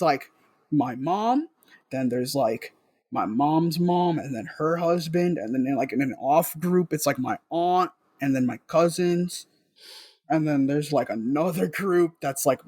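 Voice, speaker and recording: A young man with a fairly high voice, recorded close and dry. He talks casually and informally as an interview guest, sharing stories in an animated, slangy way. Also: no echo. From South Bronx, New York. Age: young adult. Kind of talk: casual conversational storytelling.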